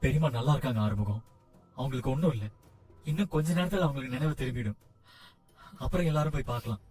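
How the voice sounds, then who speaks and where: A man's voice: mid-range voice, fast talker, a little mellow, faint music behind, close to an everyday voice. An actor in a TV serial playing a worried young male doctor who comforts his family. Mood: comforting. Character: anxious young male doctor, caring nephew. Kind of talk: TV serial acting.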